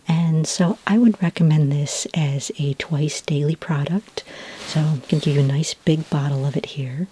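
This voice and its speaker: Woman, soft-spoken ASMR consultant role: low, dark and mellow, half-whispered, gently reassuring. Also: normal speed, breathy edge. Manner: hesitant, gently explanatory, reassuring. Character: calm, reassuring female sci-fi skin care consultant. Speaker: ASMR roleplay performer as a skin care consultant.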